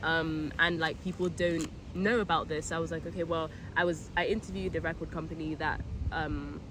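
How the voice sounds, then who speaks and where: A young woman who is a native Somali speaker, talking about her work in a relaxed conversation. She talks thoughtfully and fills it with "like" and restarts. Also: low-pitched, a little fast, noisy background. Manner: chatty and thoughtful, many "like" fillers and restarts. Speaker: guest, zine maker. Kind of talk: casual conversation.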